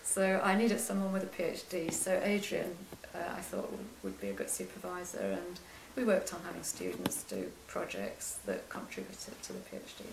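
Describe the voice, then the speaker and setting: An older woman with a quiet voice, recalling her career as a lecturer in an interview, soft-spoken and hesitant with many restarts. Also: fairly low pitch, very animated, moderate pace, vocal fry, hardly any pauses, reverberant, noisy background. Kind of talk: oral history interview.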